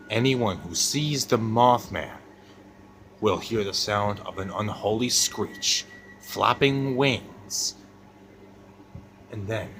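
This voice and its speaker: A man voicing an ominous monster storyteller, telling a spooky tale with drawn-out, dramatic emphasis in an amateur roleplay. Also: on the low side, a bit bright, slight background noise. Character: Zilla Dude ten, ominous monster storyteller.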